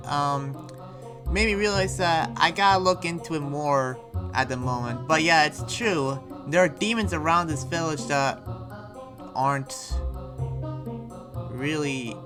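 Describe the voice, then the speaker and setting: A man playing an earnest demon slayer in an improvised roleplay, explaining things in a casual, rambling way. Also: fairly high pitch. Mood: earnest, thoughtful.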